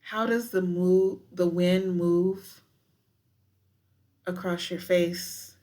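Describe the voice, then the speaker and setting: A woman guiding a meditation in a deliberate, unhurried manner with frequent pauses. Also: fairly low pitch, fairly even intonation, mellow. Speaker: professor guiding a breathing meditation. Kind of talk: guided meditation with spoken poetry.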